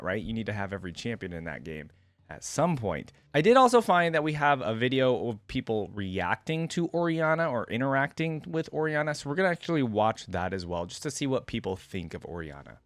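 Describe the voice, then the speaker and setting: A man hosting a reaction video with casual, informal commentary, rambling and thinking out loud. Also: medium pitch, sing-song, fairly quick, no room sound.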